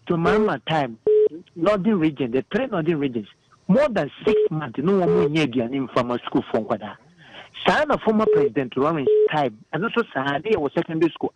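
A man's voice: fairly high pitch, sing-song, normal speed. A man from Ghana calling in to a radio show, making an earnest, preachy case. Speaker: caller. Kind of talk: phoned-in political opinion. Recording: phone line on air.

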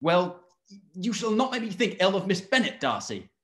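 A man's voice: sits high, big pitch swings, normal speed. Amiable Regency bachelor man acting: young, enthusiastic, earnest. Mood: earnest, cheerful. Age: young adult. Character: amiable, eager, good-natured young Regency gentleman. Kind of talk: period drama read-through. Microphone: video call, close framing.

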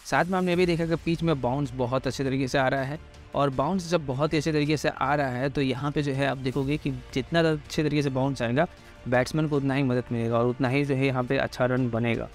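Man, Indian accent, quick pace, mid-pitched, informal cricket analyst, repetitive explainer, background music. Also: steady tone. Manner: repetitive, explanatory, addresses listeners directly. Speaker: cricket pitch report presenter.

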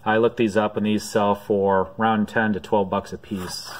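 A man casually showing his auction finds to the camera from behind it, filling his speech with "uh" pauses at home. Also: level tone, a little hiss or hum. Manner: unhurried, many "uh" pauses, low-key. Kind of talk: casual off-camera show-and-tell. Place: home room at a desk.

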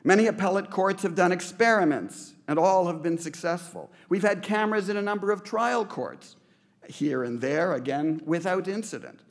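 A man's voice: high-pitched, normal speed. A middle-aged man from Canada giving an earnest acceptance speech at a podium in a large banquet hall, stressing his points with repeated phrases. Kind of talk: prepared speech read at a podium. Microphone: podium microphones.

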